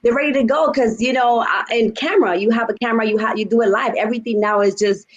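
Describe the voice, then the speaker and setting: A young woman from the Dominican Republic, chatty and animated, talking as a guest on a casual video-call interview from her home studio. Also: a little high, fast talker, muffled recording. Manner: animated, gestures with her hands while talking; chatty, laughs between stories. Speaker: guest singer.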